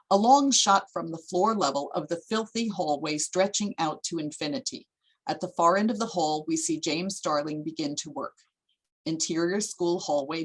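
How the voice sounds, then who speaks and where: Low, husky woman narrating a script. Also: poor audio. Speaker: stage directions narrator.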